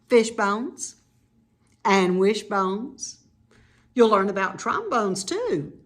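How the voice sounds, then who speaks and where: Woman, children's story reader, slow deliberate delivery, lively varied intonation, teacherly, expressive. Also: mid-range voice. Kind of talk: read-aloud storytelling for children with informal explanatory asides.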